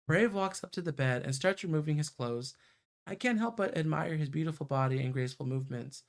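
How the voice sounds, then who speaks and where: Male game streamer, lighter pitch, creaky edge, reads story text with off-the-cuff asides. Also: a little fast. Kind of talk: reading visual novel narration aloud, casual asides.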